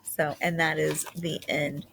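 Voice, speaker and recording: A woman's voice: low-pitched, flat delivery, measured pace, creaky, a bit bright, a little hiss or hum. A woman reading a children's story aloud as a storybook narrator. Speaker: storybook reader.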